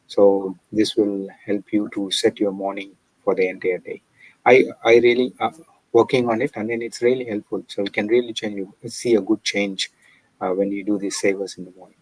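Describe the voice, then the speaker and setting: A man's voice: on the low side, level tone, normal speed, darkish tone, dry recording, rough recording. A man explaining things step by step as a guest in a chat. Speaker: panel guest.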